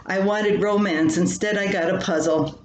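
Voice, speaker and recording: A woman's voice: medium pitch, fairly even intonation, normal speed. An older woman reading her own humorous personal column aloud, with a wry, dramatic storytelling manner. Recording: roomy echo with clear background noise.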